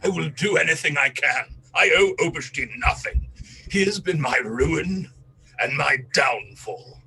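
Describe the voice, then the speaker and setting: An actor playing a disgraced English colonel in a radio play, a cornered, self-pitying traitor pleading and confessing in agitation. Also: male voice, on the high side, expressive, a little slow, gravelly, sharp tone, husky, full character voice. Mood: broken, remorseful.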